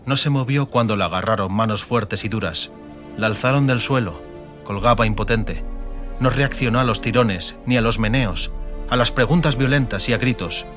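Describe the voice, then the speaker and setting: A man narrating a fiction audiobook dramatically, in solemn, measured short phrases. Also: mid-range voice, a bit bright, faint music behind.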